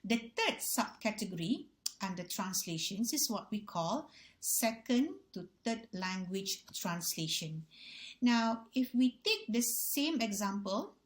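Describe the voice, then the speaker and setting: Malaysian-accented woman lecturer, Malay native, measured and repetitive delivery, addresses students formally. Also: medium pitch, lively intonation. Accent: Malaysian English. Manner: deliberate, repeats key phrases, addresses audience as ladies and gentlemen. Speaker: university lecturer. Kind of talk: recorded revision lecture.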